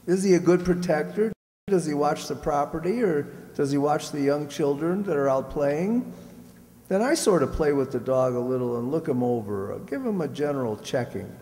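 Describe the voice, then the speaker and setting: A man's voice: fairly high pitch, expressive, moderate pace, dark tone. A man playing an earnest guest reporting in on an old-time radio show, laying out his points methodically. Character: earnest single male reserve officer reporting in by telephone. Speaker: telephone guest. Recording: echoey hall.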